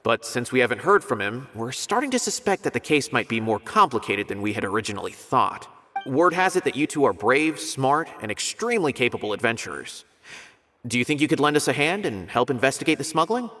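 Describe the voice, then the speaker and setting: A man's voice: mid-range voice, very animated, a little fast, sharp tone. A man voicing a polite young academy scholar in a video game, earnest and a little hesitant. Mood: concerned. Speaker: scholar asking for help. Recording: game audio with music behind.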